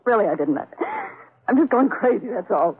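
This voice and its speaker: A woman's voice: medium pitch, expressive, a little fast, breathy edge, no room sound, phone-quality sound. An actress playing a desperate, seductive woman trapped on an island, pleading theatrically in 1940s radio melodrama style. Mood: wounded, seductive. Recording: thin, noisy 1940s radio recording.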